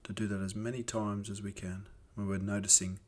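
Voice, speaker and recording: A man with a fairly low voice guides a meditation. He speaks gently and without hurry, leaving soothing pauses between phrases as he gives each instruction. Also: flat delivery. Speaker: meditation teacher.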